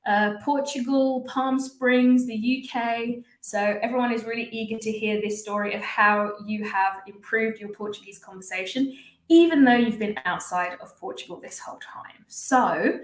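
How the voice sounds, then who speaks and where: A woman's voice: fairly high pitch, moderate pace, roomy sound, poor audio. A woman hosting a live-stream interview as a language teacher, upbeat and chatty. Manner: upbeat, chatty, laughs often.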